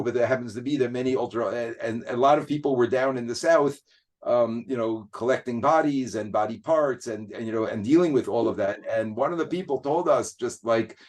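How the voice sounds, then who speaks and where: Earnest, halting man in conversation. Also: mid-range voice, darkish tone. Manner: hesitant, many restarts and fillers, earnest. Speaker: guest. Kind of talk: casual conversational interview.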